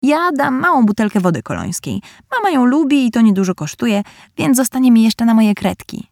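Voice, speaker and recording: A prim little girl with airs, her high voice pushed up and loud as she insists on things. A woman reader puts her on in a dry, close audiobook recording. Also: big pitch swings, fairly quick, gravelly, no room sound. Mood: eager. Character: vain youngest girl, prim and dramatic.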